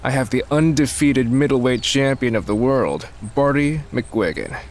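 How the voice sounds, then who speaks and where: A man playing a smug, wealthy Chinese businessman in an audio drama, with slick, persuasive, drawn-out talk. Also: medium pitch, natural voice. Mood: smug persuasion.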